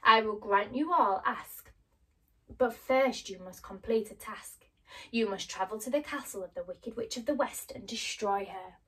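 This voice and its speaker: A woman's voice: medium pitch, a little slow, pushed and bright, distant mic, low-quality recording. A woman voicing a little man who poses as a grand storybook wizard, speaking formally and emphatically. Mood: commanding.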